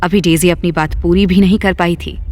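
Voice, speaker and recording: Casting: audio story narrator, mid-pitched voice, scripted storytelling, soft music underneath. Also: fairly even intonation, a little fast.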